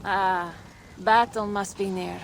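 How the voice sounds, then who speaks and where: A cryptic, ominous Viking woman in a game cutscene. Her voice is gravelly and unhurried, with a cold, dry delivery. There is faint noise behind. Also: mid-range voice, fairly even intonation. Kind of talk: video game cutscene acting.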